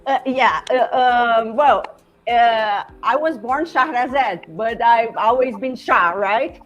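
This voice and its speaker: Slow, halting Brazilian woman. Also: a little high, muffled recording. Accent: Brazilian Portuguese. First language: Portuguese. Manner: halting, searching for English words, many restarts. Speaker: podcast guest, former classmate. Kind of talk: casual conversation.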